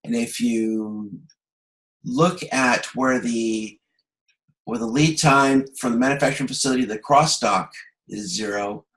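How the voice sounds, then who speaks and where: A man giving an online lecture as an instructor, explaining problems step by step in a halting way and often checking that he is understood. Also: mid-range voice, fairly bright tone, low-quality recording. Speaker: university instructor. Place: video conference call.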